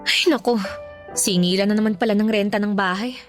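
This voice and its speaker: A woman's voice: mid-range voice, a little fast, soft background music, close to an everyday voice. A woman playing a put-upon young Filipino mother in a radio drama, plaintive and politely protesting. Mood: worried.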